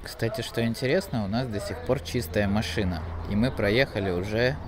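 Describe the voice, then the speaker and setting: A man's voice: fairly low pitch, normal speed, crowd noise. A man in his thirties casually narrating a road trip as a vlogger, pointing out the sights around him. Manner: easygoing, pointing things out. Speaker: travel vlogger. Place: outdoors by a roadside gas station.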